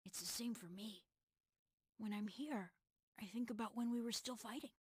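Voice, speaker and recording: A woman playing a sweet, soft-spoken teenage girl in a video game cutscene. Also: a little high, moderate pace, breathy edge, no echo, clean studio sound. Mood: wistful.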